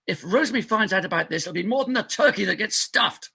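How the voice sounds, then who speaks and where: A man's voice: high voice, animated, fast, gravelly, pushed and bright, husky, full character voice. A man playing a desperate, insistent married doctor who cajoles a colleague in a stage farce. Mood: pressing, exasperated. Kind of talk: stage farce readers theater acting.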